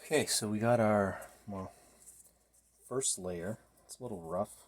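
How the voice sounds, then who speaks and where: Low-voiced man thinking aloud, noisy recording. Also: measured pace. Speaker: DIY maker demonstrating a build. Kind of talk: casual workshop narration while working. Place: small indoor home workshop. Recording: clearly noisy workshop recording with handling clicks.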